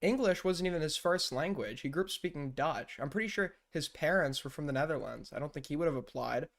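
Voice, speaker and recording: A man's voice: fairly high pitch, expressive, brisk, vocal fry. A young man giving casual live-stream commentary, rambling and blunt, with lots of fillers and swearing. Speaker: streamer reacting to news clips.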